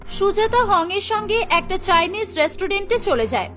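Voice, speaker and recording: A woman's voice: very high-pitched, expressive, normal speed, fairly bright tone. A woman narrating a scripted cartoon story as a storyteller, over music.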